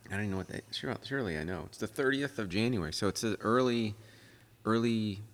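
Low-voiced man hosting in a reverberant room. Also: quick pace, slight background noise. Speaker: podcast host. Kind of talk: casual podcast chat. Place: club podcast studio with car theme.

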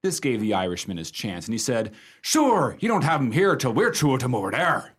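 Radio drama: male actor as jovial raconteur, wry and theatrical, animated medium-pitched delivery. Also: brisk, husky. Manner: playful, theatrical. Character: wry, jovial American male raconteur telling friends a ghost story. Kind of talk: radio play storytelling.

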